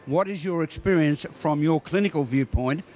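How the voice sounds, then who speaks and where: Halting Australian man interviewing on local TV. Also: a little high, darkish tone. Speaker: TV news interviewer.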